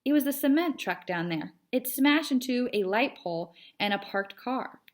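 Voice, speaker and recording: A woman's voice: fairly high pitch, lively intonation, normal speed, voice pushed up, natural voice. A woman voicing a patient, sensible but exasperated male storybook cat who explains things carefully and hesitantly. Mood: incredulous. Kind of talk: children's storybook character read aloud.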